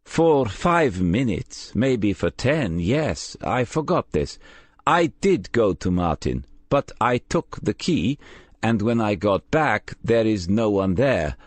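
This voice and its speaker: A man voicing a nervous, guarded young foreign care worker in an audiobook, speaking in halting, simple phrases. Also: fairly low pitch, very animated, no room sound, pitched above the performer's own voice, full character voice. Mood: hesitant, defensive.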